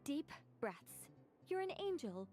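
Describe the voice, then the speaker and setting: A woman voicing an eager, scholarly woman in a video game, excitable and scolding herself to stay calm. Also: high-pitched, takes it slowly, soft background music, exaggerated voice. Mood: exasperated.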